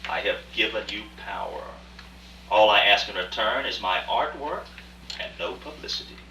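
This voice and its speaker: A man playing a secretive, self-important rich recluse in a radio play, speaking in a measured, formal, conspiratorial way. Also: fairly low pitch, animated, pushed and bright. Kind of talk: live radio play acting. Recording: echoey distant live rehearsal, faint hum.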